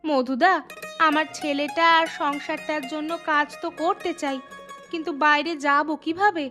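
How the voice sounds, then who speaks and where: A woman's voice: high-pitched, steady tone, moderate pace, bright, forceful tone, soft background music. A woman playing a caring, encouraging village mother in a cartoon, speaking informally and reassuringly. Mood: grateful. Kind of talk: cartoon voice acting.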